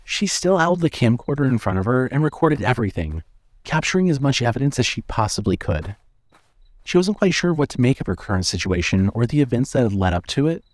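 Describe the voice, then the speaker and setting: A man's voice: medium pitch, no echo. A man reading scripted suspense fiction as a narrator.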